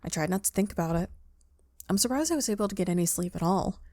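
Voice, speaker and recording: A woman's voice: mid-range voice, expressive, quick pace, mellow, dry recording, studio quality. A woman playing a story game, reading the narration aloud and dropping in casual asides of her own. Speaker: video game player reading aloud and commenting.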